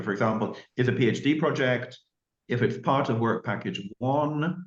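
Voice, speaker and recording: A man explaining the application requirements at an online information session, measured and peppered with "you know" asides. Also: lowish voice. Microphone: video call. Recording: roomy, muffled call audio.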